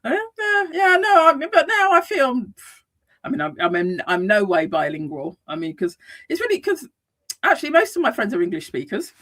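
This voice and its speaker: A woman's voice: fairly high pitch, normal speed. A woman from the UK, a psychotherapist and life coach, chatting as a guest in a casual interview with many restarts and easy laughter. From United Kingdom. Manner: animated, frequent restarts, laughs easily. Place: Madrid, Spain.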